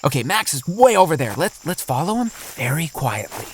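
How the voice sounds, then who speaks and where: Animated, good-natured middle-aged dad. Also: male voice, a little high, brisk, a little hiss or hum. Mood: careful. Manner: calming, reasoning. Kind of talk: children's audio drama acting.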